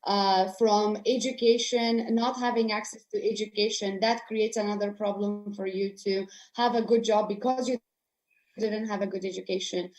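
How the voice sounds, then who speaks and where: A woman's voice: medium pitch, steady tone, moderate pace, darkish tone, low-quality recording. A young woman from Afghanistan answering a panel question on a video call, hesitant with many restarts. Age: young adult. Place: room with houseplants.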